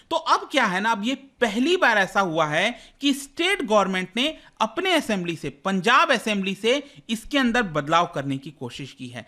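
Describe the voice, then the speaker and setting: High, bright-voiced man explaining. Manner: didactic, conversational, often restating points and mixing in English terms. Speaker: teacher presenting current affairs for exam aspirants. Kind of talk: explanatory lecture with rhetorical questions.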